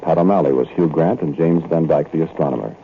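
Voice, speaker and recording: A man hosting and narrating a radio drama, giving a measured, intimate 1940s sign-off on a tinny vintage broadcast. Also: rumbling low, a little hiss or hum.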